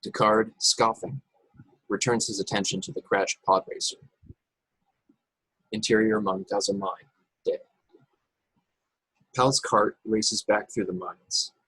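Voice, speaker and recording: Male narrator, very raspy husky tone, lowish pitch, dry close sound, scripted table-read narration. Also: fairly bright tone, no room sound, low-quality recording. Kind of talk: reading screenplay directions at a table read. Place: remote video call.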